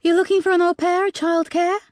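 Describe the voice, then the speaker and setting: A woman reading aloud, voicing a wary young barmaid in a higher, louder voice with a hint of an accent. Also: little pitch movement, brisk, no room sound, studio quality. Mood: flat.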